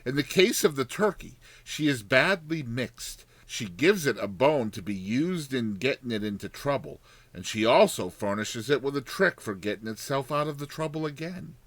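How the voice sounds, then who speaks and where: A man's voice: mid-range voice, lively intonation, moderate pace, fairly bright tone, no room sound. A man narrating a classic short story as a dramatized audiobook, with theatrical, emphatic phrasing.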